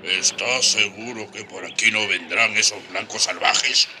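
An actor playing a fierce African rebel leader and escaped slave in a radio drama, brusque, commanding and challenging. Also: male voice, on the low side, unhurried, bright, forceful tone. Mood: suspicious. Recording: radio drama with faint background music.

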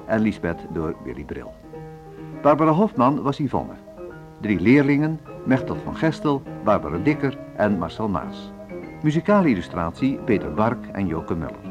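Radio announcer guy reading drama credits, lowish voice, music behind, dull old broadcast sound. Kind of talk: scripted closing credits announcement. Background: loud instrumental music.

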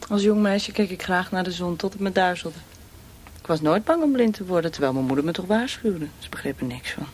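An actress in a radio drama playing a cold, mocking torturer for a military regime, speaking with deliberate menace. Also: female voice, fairly low pitch, expressive, a little mellow, slight background noise, close to an everyday voice. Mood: taunting, menacing.

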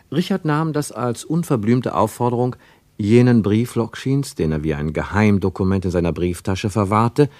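A man narrating a radio play travel tale in a measured, gently ironic way. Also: on the low side, no room sound. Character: wry third-person storyteller of a travel tale.

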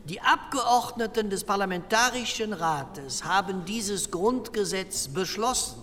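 Formal postwar parliamentarian for radio drama,, high-pitched, legalistic, long reverb with faint noise. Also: male voice, animated, pushed and bright, heavily acted. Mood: measured, insistent. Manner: measured, legalistic. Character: cautious, formal parliamentary delegate of 1948. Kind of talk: formal debate speech.

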